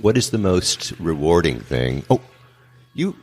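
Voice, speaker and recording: A man's voice: deep voice, measured pace, slightly rough. A man hosting a travel show, interviewing a guest in a joking, casual way and often repeating words as he goes. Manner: joking, repeats himself, lively.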